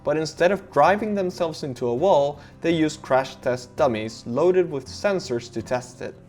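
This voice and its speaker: A man's voice: a little high, expressive, moderate pace, a little mellow, soft background music. A man from Argentina, speaking English as a second language, narrating a car safety explainer with enthusiastic emphasis. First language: Spanish. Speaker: car reviewer.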